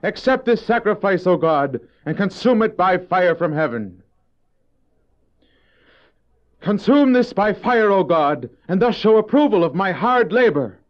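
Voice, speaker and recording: An actor playing Cain, a proud, resentful farmer and elder brother, in a theatrical, argumentative manner for a religious audio drama. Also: male voice, sits high, sing-song, normal speed, few pauses. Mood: proud pleading. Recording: dull old cassette audio drama.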